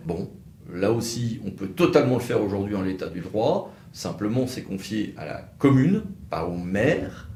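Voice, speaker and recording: A man giving explanatory legal commentary as an expert presenter, halting at times, with wry, sceptical asides. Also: lowish voice, darkish tone. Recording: roomy echo, faint background noise.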